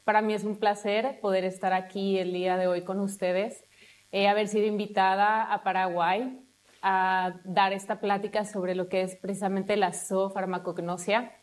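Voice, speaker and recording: A woman's voice: medium pitch, little pitch movement, moderate pace. A woman academic, explaining her research fluently. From Mexico. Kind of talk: presentation to camera.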